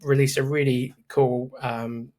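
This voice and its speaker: A man's voice: mid-range voice, flat delivery, measured pace, a little mellow. A man hosting a podcast, talking casually and restarting his sentences often. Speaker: podcast host. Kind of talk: casual interview chat.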